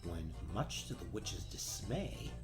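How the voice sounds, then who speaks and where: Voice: low-pitched, very animated, slow, music in the background. A narrator giving wry, tongue-in-cheek, theatrical scripted storybook narration for a comedy.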